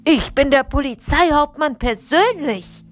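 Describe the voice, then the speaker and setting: Casting: woman as irate storybook police captain, a man of pompous bluster, pitched high and loud. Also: lively intonation, unhurried, faint music behind. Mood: outraged. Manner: indignant, shouting, self-important. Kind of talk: children's audiobook character reading.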